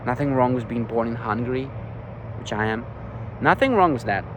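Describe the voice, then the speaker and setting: A man's voice: mid-range voice, expressive, normal speed, noisy background. A young man from Ukraine with a European accent, rambling casually through a vlog update with lots of filler words. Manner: rambling, many "like" and "you know" fillers. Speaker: vlogger.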